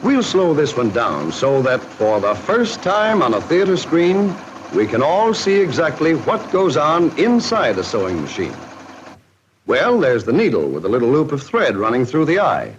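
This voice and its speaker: A man's voice: medium pitch, huge pitch swings, moderate pace, noisy background. An American man narrating a scripted 1940s promotional film in a jocular, folksy showman's manner. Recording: dull, band-limited 1940s film soundtrack.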